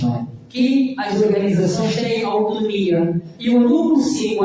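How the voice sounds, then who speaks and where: A man's voice: very high-pitched, mellow, a little hiss or hum. A man, a civic activist arguing emphatically on a debate panel, often adding rhetorical tag questions. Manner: emphatic, rhetorical, frequent "não é?" tags. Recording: echoey room, distant mic.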